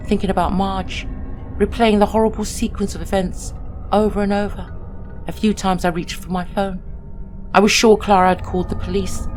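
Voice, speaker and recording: A woman reading a scripted first-person horror story in a measured, dramatic, suspenseful way. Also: medium pitch.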